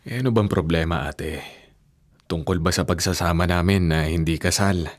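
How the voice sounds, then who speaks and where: An actor with a Filipino accent playing a devoted, soft-hearted young husband in a radio drama, speaking earnestly and gently. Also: male voice, low-pitched, moderate pace, lightly acted. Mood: mildly defensive. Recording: music bed behind dialogue.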